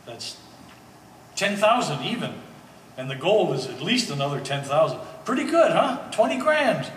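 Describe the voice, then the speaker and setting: A man playing a reasonable, easygoing family mediator in a stage play, speaking in a measured, persuasive, conversational way. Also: a little high, big pitch swings, a bit bright. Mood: pleased. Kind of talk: live stage play acting. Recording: echoey hall, distant mic, faint noise.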